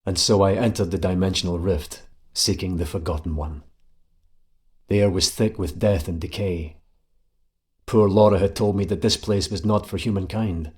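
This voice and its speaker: A man playing a grim, tormented warrior in a video game, speaking in a solemn, brooding and deliberate way. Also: deep voice, a little mellow, lightly acted. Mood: dark, vengeful. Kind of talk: dramatic video game acting and narration.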